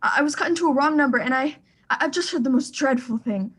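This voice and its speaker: A high-pitched woman's voice in a suspense radio play acted by a woman. She is an anxious, sickly wife who demands to be heard, fretful and theatrical in her delivery. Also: quick pace, natural voice. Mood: horrified, agitated.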